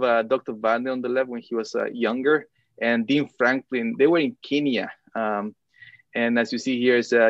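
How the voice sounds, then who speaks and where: A man in his thirties from Chile, giving an academic lecture with frequent hesitant filler pauses. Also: medium pitch, no room sound, dull audio. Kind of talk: academic presentation over video call. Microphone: video call.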